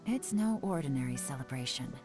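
A cool female spymaster in a video game. She has a low voice and speaks with measured, commanding composure, shrewd and controlled, over background music. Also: expressive. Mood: cool, analytical. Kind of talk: scripted video game voice acting.

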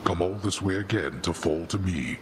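A man's voice: low-pitched, moderate pace, echoey room, a little hiss or hum, close to an everyday voice. A man playing a stern, contemptuous god of the dead in a video game, formal and commanding. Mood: menacing. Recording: loud game music behind.

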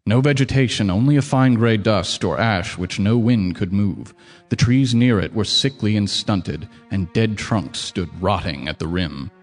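A man with a lowish voice narrating a horror radio play, his delivery measured and somber. Loud music plays beneath his words. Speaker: narrator, a surveyor. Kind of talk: scripted radio drama narration.

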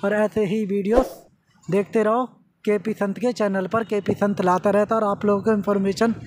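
A man's voice: high voice, fairly even intonation, moderate pace, a little hiss or hum. A man vlogging from a construction site, chatting casually to his viewers as friends. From India. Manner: conversational, addressing viewers as friends. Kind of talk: casual walkthrough narration.

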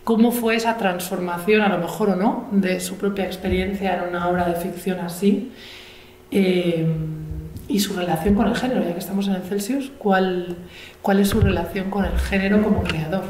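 Una mujer que modera una charla literaria sobre el escenario de un auditorio, hablando con titubeos y frases que vuelve a empezar. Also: female voice, mid-range voice, fairly even intonation, a little mellow. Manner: halting, many restarts and fillers. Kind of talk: onstage literary conversation. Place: large auditorium stage. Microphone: table mic. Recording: echoey auditorium, faint noise.

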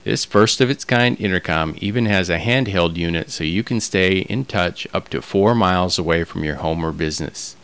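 Low male voice, clean resonant tone, scripted product narration, measured delivery. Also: fairly bright tone, clean tone.

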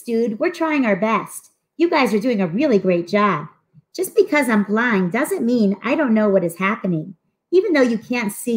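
Woman reading as eager, expressive schoolkids, very lively. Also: fairly high pitch, natural voice. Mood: encouraging. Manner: expressive, earnest. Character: friendly, eager schoolchildren in a comic book. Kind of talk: animated storybook read-aloud.

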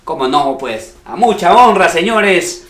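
A man's voice: high-pitched, measured pace, reverberant. A man from the Cajamarca region of Peru hosting a music TV show, speaking straight to viewers in an upbeat, promotional way. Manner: lively, promotional, talks to viewers directly. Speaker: TV show host.

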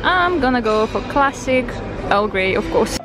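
A woman's voice: on the high side, animated, normal speed. A young woman from Ukraine, speaking English as a second language, chatting enthusiastically to her viewers while filming herself with a handheld camera. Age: young adult. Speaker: vlogger. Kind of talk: casual vlog narration. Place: city streets and a restaurant, indoors and out. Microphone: handheld camera at arm's length. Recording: loud background music.

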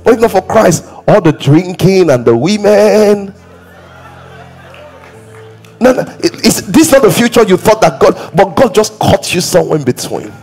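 A Nigerian man preaches from a large church stage, his slightly higher voice carrying a husky, breathy edge. He swings between quiet asides, acted-out quotes, half-sung lines and shouted exclamations. Soft music sits faintly beneath him in the live hall. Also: normal speed. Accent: Nigerian English. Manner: animated, acts out quoted voices, breaks into singing and shouting. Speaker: pastor. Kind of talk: sermon.

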